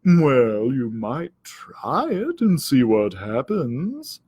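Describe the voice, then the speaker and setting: A man voicing a sly, smug storybook cat with a drawling, falsely sympathetic, deliberate delivery. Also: medium pitch, big pitch swings, darkish tone, breathy edge, exaggerated voice. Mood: coy, coaxing. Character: sly, smug, silky male cat scheming against a dog. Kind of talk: children's storybook character voice.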